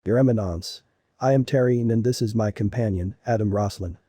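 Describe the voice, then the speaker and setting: A man playing a stoic, principled alien warrior exile in a video game, speaking formally and solemnly in a husky, gravelly voice. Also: lowish voice, mellow, no room sound. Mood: respectful. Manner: formal, measured, solemn phrasing.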